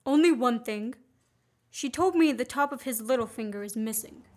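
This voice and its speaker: A woman's voice: a little high, moderate pace, darkish tone, natural voice. A woman acting in a student radio play as an earnest, wary man on the run, with a polite, restrained manner. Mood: guarded. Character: earnest, wary male fugitive visitor.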